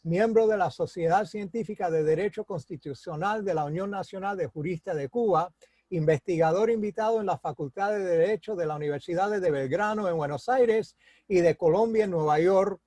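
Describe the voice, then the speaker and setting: A man hosting an interview with a high, mellow voice in a dry, close room. He reads out a long, formal biography of the guest, stumbling over words and joking at his own expense, then asks his questions. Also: moderate pace, dry recording.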